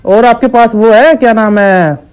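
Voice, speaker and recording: Casting: a man acting a dim, persistent village shopkeeper for a comic prank call; high, smooth voice, deadpan and halting. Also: natural voice. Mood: naively stubborn. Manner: slow-witted, hesitant, naively persistent. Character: dim, stubborn village shopkeeper ordering absurd medicine quantities. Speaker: prank caller.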